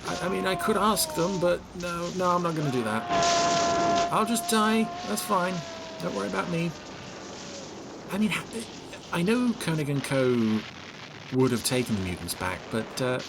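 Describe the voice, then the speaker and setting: A man's voice: fairly high pitch, gravelly, noisy background. A man giving sardonic, exasperated scene-by-scene commentary on a television episode as a podcast reviewer. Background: TV episode soundtrack with music.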